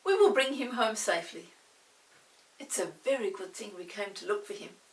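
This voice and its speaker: A woman's voice: mid-range voice, lively intonation, normal speed, roomy sound, recorded from a distance, close to an everyday voice, low-quality recording. A woman voicing a kind, brave young boy, earnest and reassuring.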